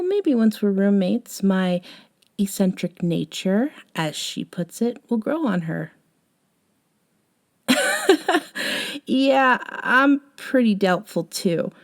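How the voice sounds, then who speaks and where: Woman acting soft, mellow, unhurried eccentric young friend. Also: mid-range voice. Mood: amused, wry. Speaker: audio roleplay performer. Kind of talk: intimate ASMR audio roleplay.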